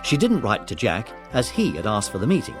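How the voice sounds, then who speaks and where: A man's voice: fairly low pitch, wildly swooping pitch, a little fast, over music. A man reading scripted narration for a children's audiobook story.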